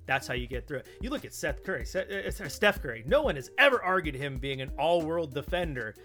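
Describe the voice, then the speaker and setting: A man's voice: a little high, expressive, a little fast, bright, forceful tone, soft background music. A man in a casual sports podcast discussion, emphatic and opinionated, repeating points for stress. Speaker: podcast co-host and basketball analyst.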